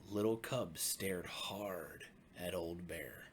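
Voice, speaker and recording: A man, a pastor, narrating a bedtime story for children in a gentle, expressive way with deliberate phrasing. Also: sits low, slight background noise.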